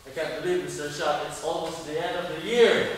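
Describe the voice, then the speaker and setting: Higher-voiced man in a booming, echoey hall. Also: a little fast. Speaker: teacher introducing a school play. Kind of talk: live welcome speech to an audience. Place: large echoing indoor performance space. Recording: very echoey hall from far off, faint noise.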